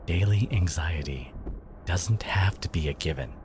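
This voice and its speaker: A man playing a devoted, protective boyfriend in an intimate ASMR audio roleplay, speaking haltingly with sighs and whispers, emotional and tender. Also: low-pitched, background noise. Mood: tender, reassuring. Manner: halting, sighing, slipping into breathy whispers.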